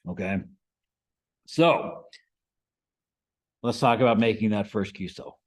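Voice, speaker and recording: A man with an American accent and a fairly low voice presents a lesson to a group. He talks conversationally, posing questions to his listeners and checking in as he explains things step by step. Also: unhurried. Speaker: presenter, amateur radio instructor. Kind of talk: informal instructional presentation to a group.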